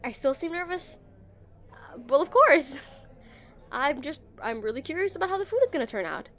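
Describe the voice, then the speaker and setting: Woman acting a high-voiced, awkward, smitten young game developer. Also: very animated, moderate pace, fairly bright tone. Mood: nervous, flustered. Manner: nervous, stammering, hesitant. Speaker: audio roleplay performer. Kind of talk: scripted romantic roleplay, spoken to the listener.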